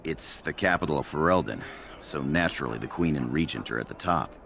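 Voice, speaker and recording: An actor playing a market merchant in a video game, with a salesmanlike, matter-of-fact manner. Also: male voice, rumbling low, lively intonation, fairly quick, gravelly, a bit bright, slight background noise, exaggerated voice. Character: male market merchant hawking arms and armor.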